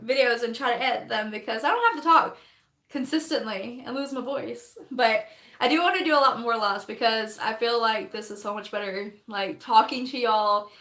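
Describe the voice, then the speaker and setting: A young woman, chatting on a phone livestream while doing her makeup, rambling fast, laughing often and losing her voice. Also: a little high, a bit bright, roomy sound. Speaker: livestreaming vlogger.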